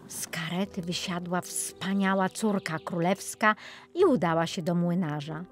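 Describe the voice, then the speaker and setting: A woman's voice: fairly low pitch, unhurried, faint music behind. A woman narrating a children's fairy tale as an audiobook storyteller, reading from a script.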